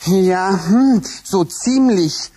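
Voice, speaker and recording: A man voicing a slow, hesitant, good-natured puppet friend in a children's radio play. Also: high-pitched, dark tone, breathy edge, heavily acted. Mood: amiable.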